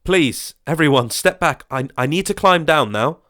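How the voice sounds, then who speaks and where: A man's voice: on the high side, expressive, moderate pace, fairly bright tone, pitched above the performer's own voice. Man playing a tense pleading workman. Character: harassed young workman up a ladder.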